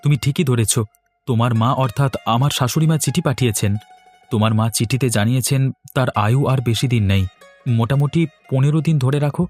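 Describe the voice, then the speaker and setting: A man's voice: medium pitch, fast, a little mellow, soft background music, close to an everyday voice. A man voicing a greedy young son-in-law in a cartoon, grumbling and sarcastic. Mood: informing.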